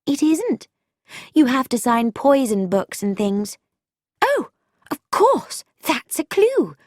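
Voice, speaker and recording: A woman reading a story, voicing an eager, quick-witted young woman sleuth in a higher, livelier voice. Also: no echo, studio quality, exaggerated voice. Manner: excited, insistent, animated.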